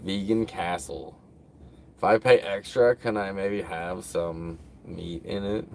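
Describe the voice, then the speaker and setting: A man's voice: low-pitched, fairly even intonation, slow pace, vocal fry, slight background noise. A man hosting a casual livestream, rambling to his viewers with lots of fillers. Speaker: livestream host.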